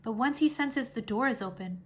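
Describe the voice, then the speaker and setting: A woman voicing a wise dragon companion in a children's storybook, explaining gravely in a measured way. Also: on the high side, lively intonation, lightly acted.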